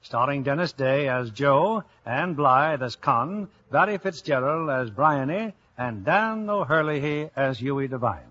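A man's voice: medium pitch, lively intonation, unhurried, hardly any pauses, pushed and bright. A man hosting a 1950s radio drama, giving formal scripted introductions. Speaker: producer and host. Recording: muffled, faintly noisy old radio recording.

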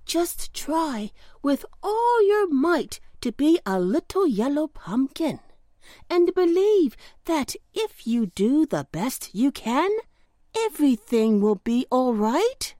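A woman's voice: high voice, very animated, slow, warm tone, dry recording, clean studio sound, voice pushed up, exaggerated voice. A woman voicing merry, reassuring little sunbeams and breezes in a children's storybook, in a singsong, coaxing manner.

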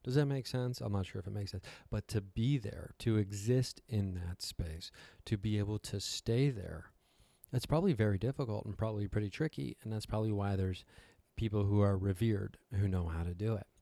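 A man with a slightly raspy voice, giving a casual, rambling talk with wry, self-deprecating asides. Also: on the low side, moderate pace, dark tone, no echo. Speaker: host giving a talk on meditation. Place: hotel room.

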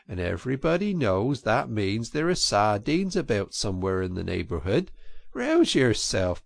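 A man voicing a cheery storybook water rat, bossy and hearty, lively swinging pitch, gravelly edge. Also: no room sound, studio quality, heavily acted. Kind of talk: storybook reading.

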